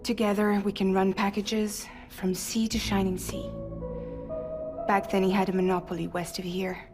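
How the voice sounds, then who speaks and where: A woman's voice: mid-range voice, little pitch movement, moderate pace, music in the background, lightly acted. An actress playing a proud, wounded young woman courier in a dramatic video game cutscene, speaking earnestly. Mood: wistful, reflective.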